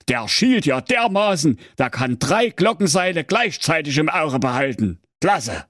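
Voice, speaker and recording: A shifty Saxon sexton, as a male narrator puts him on, hemming and dodging in a lively voice. Close, dry sound. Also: fairly high pitch, a little slow, dry recording, voice pushed up. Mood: delighted. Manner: hesitant, evasive. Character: sly shifty sexton, middle-aged man.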